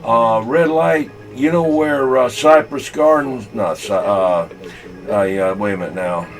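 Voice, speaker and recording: A man with a Southern US accent telling folksy, halting, rambling stories in casual talk over CB radio. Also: mid-range voice, music in the background, poor audio. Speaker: CB radio operator.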